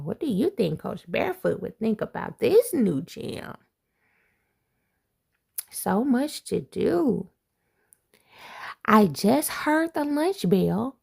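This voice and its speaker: A woman reads a rhyming picture book aloud to children, taking her time and letting her voice swoop up and down with delight. Her voice sits fairly high and carries a gravelly edge of fry. She breaks off now and then for playful asides to her young listeners. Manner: expressive storybook reading with playful asides. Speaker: assistant principal reading aloud.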